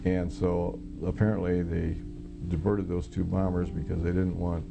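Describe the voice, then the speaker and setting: Mature American male interviewee, heavy vocal fry, monotone, matter-of-fact and halting storytelling, plucked guitar underneath. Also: low-pitched. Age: middle-aged or older. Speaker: interviewee, former Air Force air traffic controller. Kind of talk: recounting a personal experience in an interview. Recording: guitar music playing behind the voice. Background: plucked guitar music.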